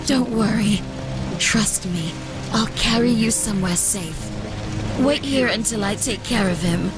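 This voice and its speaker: A woman's voice: medium pitch, unhurried, over music, lightly acted. A woman playing a playful, possessive demon moth girl with taunting, sing-song menace in a fan comic dub. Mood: reassuring.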